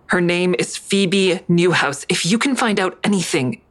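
A woman's voice: fairly low pitch, expressive, measured pace. An actress playing a guarded, distrustful woman with a terse, serious manner in an audio drama. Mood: curt resolve.

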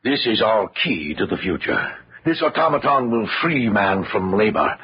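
A man's voice: lowish voice, expressive, normal speed, slightly rough. An actor in an old-time radio drama playing a zealous, visionary scientist and father with a grandiose, lecturing, emphatic delivery. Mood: earnest, persuasive. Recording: muffled vintage radio recording, music behind.

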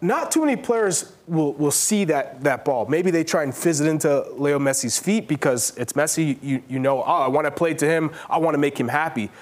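A man's voice: on the high side, normal speed, hardly any pauses. Analytical male soccer pundit, emphatic. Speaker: soccer pundit and former player. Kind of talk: analytical sports panel discussion.

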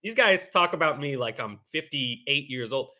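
A man in his late twenties co-hosting a sports podcast, excitable and jokey, with stammering restarts. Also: medium pitch, moderate pace, a crowd in the background. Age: 20s. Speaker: podcast co-host. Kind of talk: casual sports podcast chat.

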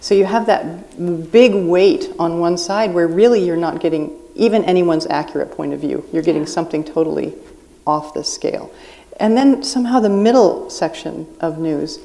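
A woman's voice: fairly low pitch, normal speed, creaky, mellow. A woman guest writer on a live podcast at a book festival, explaining her views in an earnest way.

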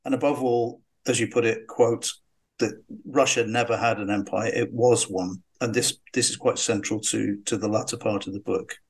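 A man with a fairly low voice leads a book interview. He sets up each question by carefully restating the author's points, with a few stumbles along the way. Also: fairly even intonation, gravelly, slightly rough. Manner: measured, summarizing the book before asking. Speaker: podcast interviewer.

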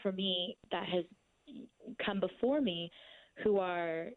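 A woman's voice: fairly low pitch, slow pace, dry recording. Woman, sincere actress, reflective, phone-quality audio. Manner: earnest and reflective. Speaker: stage actress. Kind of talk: casual interview chat.

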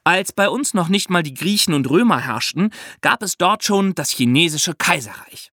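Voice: medium pitch, lively intonation, normal speed, a bit bright, dry recording, clean studio sound. A podcast guest explaining things to young listeners with vivid comparisons and questions. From China.

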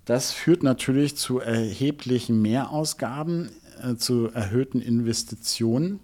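A middle-aged man with a soft-edged, mellow voice of average pitch speaks as an executive being interviewed. He explains things deliberately and a little formally, often halting to find the next phrase. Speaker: interview guest, music label executive.

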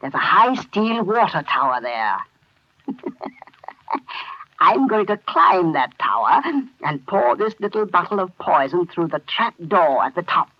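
High-pitched, husky mad poisoner. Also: male voice, sing-song, a little slow, full character voice. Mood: gloating. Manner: theatrical, gloating, cackling. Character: gleefully insane male poisoner, a laboratory worker. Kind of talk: 1930s radio drama acting. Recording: muffled vintage 1930s radio recording.

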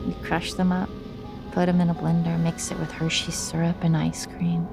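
Audio drama: sinister female phantom double, low and gravelly, coaxing and insinuating, music underneath. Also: level tone, moderate pace. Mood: taunting. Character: sinister apparition of a desperate woman's self, coaxing despair.

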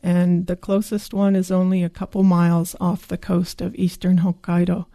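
Woman from Japan, guidebook author and tour guide, warm dark tone, calm and informative, dry studio sound. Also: lowish voice, normal speed, dry recording. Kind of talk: radio interview.

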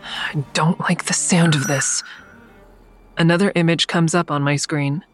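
A woman's voice: low-pitched, steady tone, measured pace, music in the background. An actress in an audio drama playing an exhausted, defiant woman who tells of her ordeal in a grim, blunt way. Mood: tense, bleak. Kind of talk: first-person dramatic narration in audio drama.

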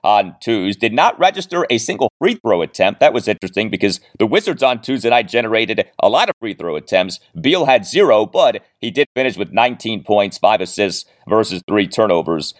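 A man hosting a sports podcast, recapping games and statistics with emphatic, deliberate phrasing. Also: fairly low pitch, very animated. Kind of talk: sports recap commentary with stats.

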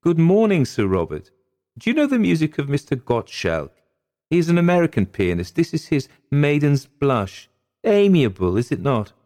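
A man's voice: a little high, wildly swooping pitch, normal speed, a little mellow, voice pushed up. A man voicing a genteel, anguished wife who pleads in formal, melodramatic period language, as in a dramatic audiobook. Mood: genteel, polite. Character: anguished young gentlewoman, genteel, pleading, dreading a cruel husband.

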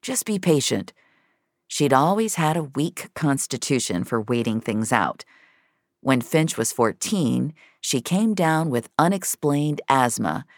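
A woman's voice: a bass voice, gravelly, no echo, clean studio sound. A woman narrating a scripted fiction story as its storyteller.

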